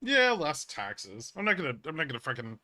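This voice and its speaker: Bright-voiced man, blunt livestream host. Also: a little high, huge pitch swings, a little fast. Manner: blunt, swearing, emphatic explaining. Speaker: livestream host, trading educator. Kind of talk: casual livestream chat, answering viewer questions.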